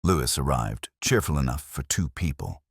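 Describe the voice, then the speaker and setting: Very deep-voiced man narrating a detective story. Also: animated, moderate pace, breathy edge, no room sound, clean studio sound. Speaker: audiobook narrator. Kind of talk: scripted first-person detective story narration.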